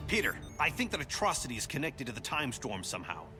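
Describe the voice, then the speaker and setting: An actor playing a serious, bossy young futuristic superhero in a video game, speaking urgently and commandingly. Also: male voice, mid-range voice, very animated, fast talker, lightly acted. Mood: focused, impatient. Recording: loud music underneath.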